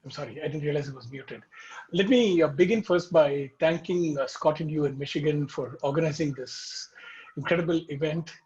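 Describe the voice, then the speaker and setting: A man's voice: a little high, moderate pace, darkish tone. Courteous male dean, muffled call audio. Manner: courteous and formal. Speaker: business school dean. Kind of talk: panel discussion.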